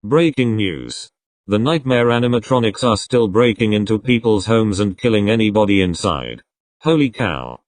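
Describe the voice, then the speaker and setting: A man reads a scripted breaking news report. His voice sits a little low in pitch and sounds very dry, free of any room echo. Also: lively intonation, normal speed. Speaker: news announcer.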